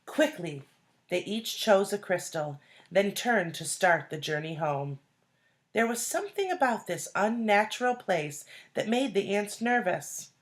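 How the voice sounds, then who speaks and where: A woman reading a children's storybook aloud like a teacher, deliberately, pausing to explain and put questions to young listeners. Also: mid-range voice, expressive. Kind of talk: expressive storybook read-aloud with asides and questions.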